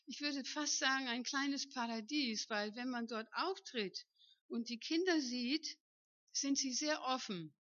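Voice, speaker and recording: A woman in her fifties from northern Germany tells a church congregation about her missionary work. Her fairly high voice has a bright edge, and she talks chattily, often asking the room to agree. The sound is close and dry but dull. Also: unhurried, no echo. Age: 50s. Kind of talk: personal testimony to a church congregation. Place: church hall.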